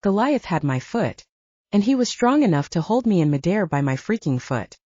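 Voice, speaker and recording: Woman doing audiobook narration, mellow tone, very animated intonation, slightly lo-fi recording. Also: fairly low pitch, normal speed, no room sound. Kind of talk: dramatic first-person fiction narration.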